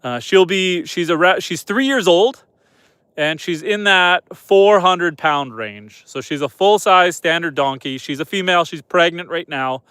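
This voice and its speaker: A man's voice: high voice, a little slow, clean tone, no room sound. A Canadian man in his thirties with a stuffed-up nose from a head cold, chatting casually on a live stream from outdoors at night. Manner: relaxed, chatty, soft-spoken to the animals. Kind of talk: casual live-stream chat reading comments.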